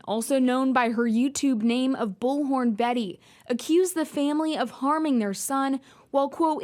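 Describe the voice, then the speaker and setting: A woman reporting for television news, narrating a scripted report. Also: sits high.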